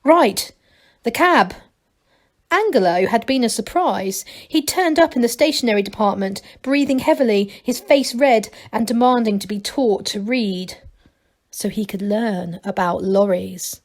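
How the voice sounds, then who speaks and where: A woman reading a children's story aloud as a teacher would, in expressive narration. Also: mid-range voice, moderate pace.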